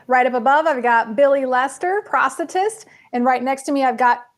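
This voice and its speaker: A woman with a fairly high voice hosts a livestream. She talks in a chatty, informal way and addresses her viewers directly as a show host would. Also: normal speed. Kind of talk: casual livestream chat with viewers.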